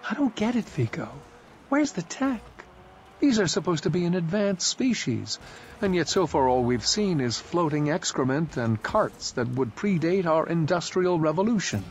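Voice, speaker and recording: A man's voice: on the high side, lively intonation, moderate pace, dull audio, slight background noise, voice pushed up. A man reading aloud voicing a young woman soldier who argues her point insistently. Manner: insistent, argumentative.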